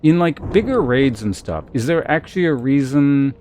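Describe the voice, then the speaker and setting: A man's voice: mid-range voice, expressive, normal speed, a little mellow. A man chatting and commentating on a live game stream in a rambling, wry way, with faint noise on the recording.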